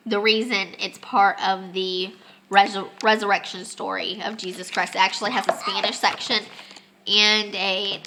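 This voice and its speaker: A woman's voice: mid-range voice, sharp tone, a little hiss or hum, poor audio. A young woman, congested and losing her voice, chatting casually with many ums as she vlogs a homeschool lesson. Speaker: homeschooling mom. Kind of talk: casual home vlog lesson. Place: family home with children.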